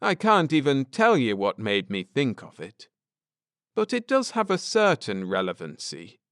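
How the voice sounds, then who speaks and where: A man voicing a grim, intense space-travelling husband in an audiobook, lecturing gravely and earnestly with drama. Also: medium pitch, huge pitch swings, measured pace, a bit bright, no echo, studio quality, full character voice. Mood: speculating.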